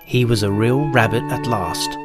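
A man reading a children's storybook aloud in a calm, measured, gentle way. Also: mid-range voice, loud music behind the voice. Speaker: narrator. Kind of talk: scripted storybook narration.

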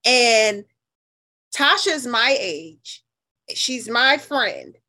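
Outspoken American woman with a higher-pitched voice. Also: expressive, drawn-out words, pushed and bright. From New Haven, Connecticut, US. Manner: outspoken, emphatic, colloquial. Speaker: interview guest. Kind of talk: casual conversational interview.

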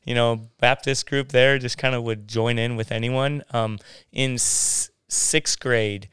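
A man telling his faith story in a casual interview, halting and restarting his sentences often. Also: mid-range voice, steady tone, a bit bright, clean tone, dry recording, clean studio sound. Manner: halting, many restarts and fillers.

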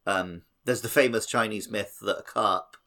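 Low-pitched man in casual discussion. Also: normal speed, bright, forceful tone. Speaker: podcast co-host. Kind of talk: casual podcast discussion explaining mythology and games.

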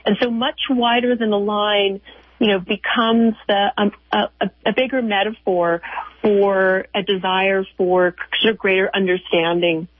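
Female interview guest, mid-pitched, heard through a narrow, dry phone connection, explaining thoughtfully and haltingly. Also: steady tone, breathy edge, no echo. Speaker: museum chief curator, interview guest. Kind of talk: unscripted explanatory interview answers. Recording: narrow tinny phone line.

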